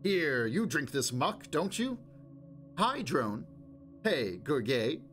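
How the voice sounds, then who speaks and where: Guy doing a loud pushy ambassador, chummy and overfamiliar, way higher than his normal voice. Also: big pitch swings, slow, faint music behind, heavily acted. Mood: boisterous. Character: brash, boisterous male ambassador, loud and overfamiliar.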